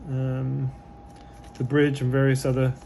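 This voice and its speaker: A man who is losing his voice a little talks casually through unboxing a model kit. His slightly hoarse voice sits at an ordinary pitch, and he rambles along with plenty of fillers. A faint hiss of background noise runs under the recording. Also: unhurried, low-quality recording. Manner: relaxed and rambling, many fillers.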